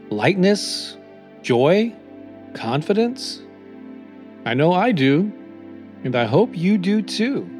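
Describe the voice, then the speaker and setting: Guy with a slightly high voice doing motivational affirmations real slow, soft new-age music behind him. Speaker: host reading self-help affirmations. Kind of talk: scripted motivational affirmations and podcast narration.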